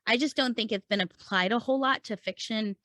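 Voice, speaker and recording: A woman's voice: fairly high pitch, fairly quick, bright, forceful tone, no room sound. A woman podcast host giving a conversational, informal lesson, explaining things step by step and posing questions to her listeners. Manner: informal, explanatory, asks and answers own questions. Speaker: podcast host and writing coach. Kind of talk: conversational instructional monologue.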